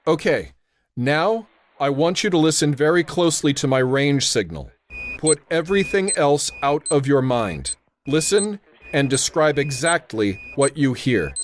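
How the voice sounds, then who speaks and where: An actor playing a steady, grave radio operator who gives precise, authoritative instructions and narrates in the style of old-time radio drama. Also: male voice, fairly high pitch, a little slow, slight background noise. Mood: firm, instructing.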